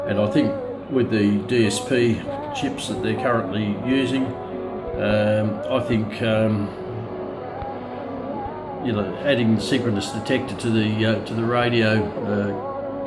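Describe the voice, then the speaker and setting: A man giving a casual, unscripted product review as a hobbyist, hesitant and often pausing mid-sentence, with radio audio playing behind him. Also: lowish voice, roomy sound.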